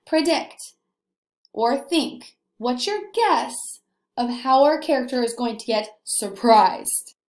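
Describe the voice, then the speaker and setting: A young woman teacher leading a read-aloud lesson for young children, animated and encouraging, asking questions and pausing for answers. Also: on the high side. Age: young adult. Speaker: early elementary teacher. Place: indoor room, echoey. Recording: echoey room.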